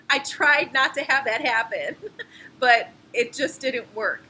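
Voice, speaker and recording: A woman's voice: high voice, slow, bright, forceful tone, slight background noise, poor audio. A woman, a professor explaining course logistics in a friendly, explanatory way. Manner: friendly, explanatory, laughs now and then. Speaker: anatomy and physiology professor. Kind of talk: course introduction video.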